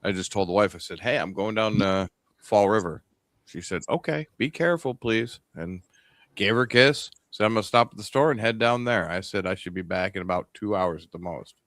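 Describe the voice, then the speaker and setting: This is a man with an upstate New York accent talking casually on a ham radio show. His voice sits fairly low, and he rambles along, stopping and restarting often. The sound is dry, with no room around it. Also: fairly quick, fairly bright tone, dry recording. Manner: rambling, with many ums and restarts. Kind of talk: casual chat.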